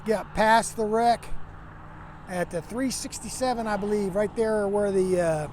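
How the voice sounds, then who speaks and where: A man talks like a trucker vlogger. His delivery is folksy, plain and conversational, as if telling a story to camera. There is clear vehicle and outdoor noise behind him. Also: high-pitched, a little slow, a little mellow. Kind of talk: casual first-person storytelling to camera. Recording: noisy, vehicle and outdoor ambience.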